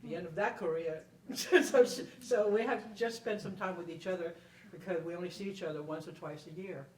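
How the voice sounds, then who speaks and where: A woman's voice: lowish voice, fairly quick. Emphatic older woman in a roomy hall. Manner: emphatic, repeats phrases, often checks with "okay?". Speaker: panel speaker and performer. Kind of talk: animated conversational storytelling to an audience. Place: indoor room at a public library event. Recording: roomy, distant mic, faint noise.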